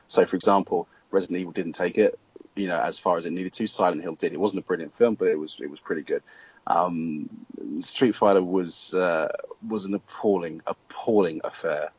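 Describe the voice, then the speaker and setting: Husky deep-voiced British film critic. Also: male voice, normal speed, darkish tone, no room sound. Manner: dry, wry judgments. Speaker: guest film blogger. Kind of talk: casual opinion chat. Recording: dry lo-fi audio.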